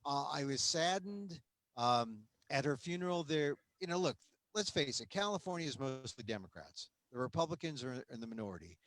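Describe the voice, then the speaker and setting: A man hosting a conversational interview, animated and quick to interject, often laughing as he talks. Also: medium pitch, no echo. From United States. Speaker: host.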